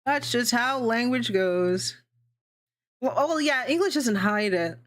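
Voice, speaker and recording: A woman's voice: on the high side, expressive, measured pace, a bit bright. A young adult woman hosting a casual livestream, chatting in a rambling way, swearing freely and laughing often. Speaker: VTuber streamer hosting a worldbuilding session.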